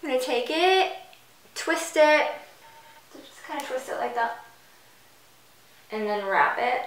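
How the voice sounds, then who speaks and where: A woman with a bright voice hosts a hairstyling tutorial in an informal, chatty way. She explains each step casually while demonstrating. The room is noticeably echoey and she sits a little off the mic. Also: sits high, animated, measured pace, distant mic. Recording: bright roomy echo, off the mic.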